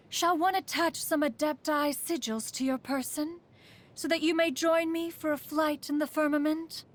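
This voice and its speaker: A woman's voice: sits high, full character voice. A woman playing a proud, aloof immortal adept who secretly cares, speaking in formal, archaic phrases as a video game character. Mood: serene, genteel. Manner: haughty, archaic formal speech, refers to self as "one".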